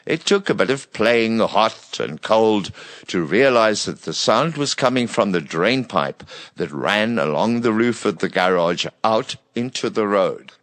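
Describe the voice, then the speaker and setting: Deep-voiced, animated male storyteller. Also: no echo. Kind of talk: scripted children's bedtime story narration.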